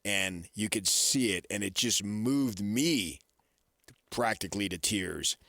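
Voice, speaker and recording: A man's voice: on the low side, slow pace, pushed and bright, no room sound. A man co-hosting a sports talk radio show in a casual, emphatic way, repeating his points and asking rhetorical questions on a close studio mic. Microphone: close studio broadcast mic.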